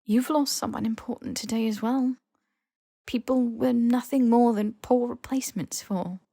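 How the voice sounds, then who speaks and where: A woman playing a young witch, a gentle and careful friend, in a story reading. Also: a little high, steady tone, moderate pace, gravelly, no room sound, studio quality.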